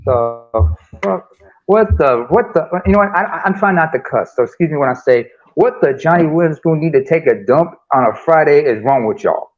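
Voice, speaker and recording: A man from East Africa joking in quick banter during a casual podcast chat. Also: fairly high pitch, lively intonation. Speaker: podcast guest. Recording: dull lo-fi recording.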